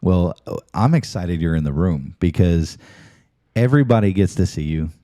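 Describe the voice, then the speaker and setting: A man's voice: low voice, lively intonation, moderate pace, mellow, no echo, studio quality. A man hosting a talk show, chatting casually with his guest in an interview.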